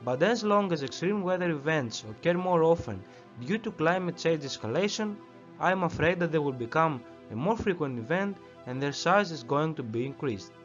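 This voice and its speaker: Lively man, lo-fi. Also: on the high side, normal speed. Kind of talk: prepared statement. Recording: dull lo-fi audio with faint music.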